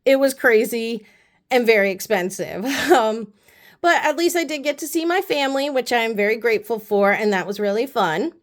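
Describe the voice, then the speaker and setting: A woman's voice: fairly high pitch, moderate pace. A woman vlogger, sick with the flu, chatting casually and rambling with lots of fillers while unboxing a haul. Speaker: beauty vlogger.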